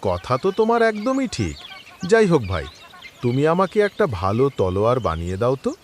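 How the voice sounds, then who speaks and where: A man's voice: medium pitch, huge pitch swings, brisk, slight background noise. An actor playing a courteous, generous king in a cartoon, with a declamatory way of speaking. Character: courteous, generous male king of a neighboring kingdom. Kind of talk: cartoon voice acting.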